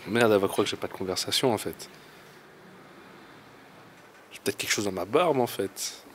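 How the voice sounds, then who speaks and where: A man's voice: on the low side, moderate pace, a little hiss or hum, lightly acted. A young man playing an awkward guy on a date, rambling in casual slang and talking his thoughts aloud. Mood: flustered. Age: young adult. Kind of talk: amateur short film, inner thoughts aloud.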